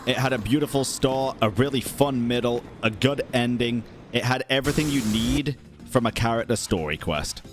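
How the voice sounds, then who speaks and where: A man's voice: medium pitch, moderate pace, over music. A young man doing casual ranking commentary in a slangy, blunt, emphatic way. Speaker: gaming content creator and streamer.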